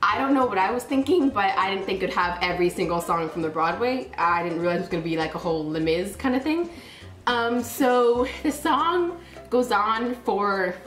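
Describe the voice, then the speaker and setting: A woman's voice: on the high side, roomy sound. A woman telling a personal anecdote casually and animatedly, acting out remembered reactions as she goes. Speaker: storyteller recounting a personal anecdote.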